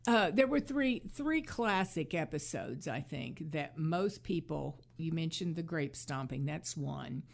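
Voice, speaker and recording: A woman co-host talks casually in a slightly low voice with noticeable creak. She hesitates and repeats phrases as she chats. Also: lively intonation, unhurried, few pauses. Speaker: podcast co-host. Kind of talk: casual chat about classic TV.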